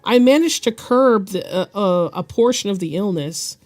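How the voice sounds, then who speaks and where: A woman streaming while she draws, her voice hoarse and deepened by bronchitis, talking in a halting, rambling way and clearing her throat often. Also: expressive, a little mellow. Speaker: digital art streamer. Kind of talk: casual live-stream chat.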